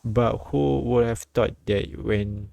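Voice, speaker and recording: A man reading a story and its dialogue aloud as a live streamer, often halting and repeating phrases. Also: mid-range voice, steady tone, creaky, dark tone, no echo. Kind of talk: read-aloud narration and dialogue translation with side comments.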